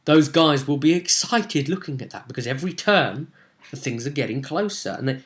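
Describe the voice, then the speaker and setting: A man, casually recounting a tabletop role-playing session in an animated, rambling way and slipping into bits of dialogue. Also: on the high side, normal speed. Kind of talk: casual rambling storytelling to camera. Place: small home room, indoors.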